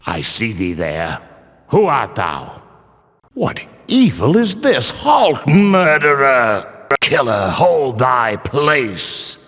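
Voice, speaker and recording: An actor playing a stern, pious medieval temple guard in a video game, speaking in pompous, archaic, theatrical phrases. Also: male voice, medium pitch, huge pitch swings, very slow, fairly bright tone, full character voice. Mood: menacing, then alarmed, commanding. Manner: archaic pompous speech, declaiming. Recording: long cavernous reverb.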